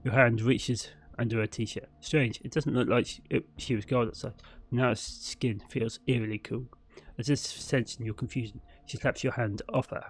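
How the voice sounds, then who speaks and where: A man's voice: mid-range voice, fairly even intonation, normal speed, husky. A man reading a visual novel aloud as a narrator, in a dramatic storytelling style with the odd stumble over words. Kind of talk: scripted narration read aloud.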